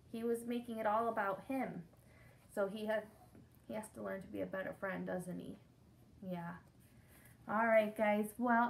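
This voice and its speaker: Slow-paced woman reading aloud to children. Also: fairly high pitch. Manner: expressive, simple explanations for young listeners. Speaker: teacher reading to young pupils. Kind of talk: picture-book read-aloud with questions to children. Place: indoors, small room against a brick-patterned wall.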